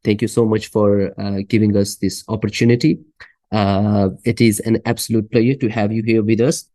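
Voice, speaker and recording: A man hosting a podcast asks polite, halting questions full of fillers. His voice is mellow and dark, and the recording is dry and close. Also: fairly low pitch, flat delivery, no echo. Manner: hesitant, many fillers, polite. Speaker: podcast host. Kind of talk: interview questions.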